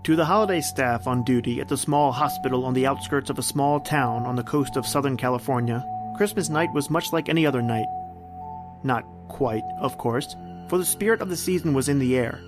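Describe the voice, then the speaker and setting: Guy doing old radio mystery narration, theatrical storyteller, medium pitch, music behind. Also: fairly quick. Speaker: podcast host and narrator.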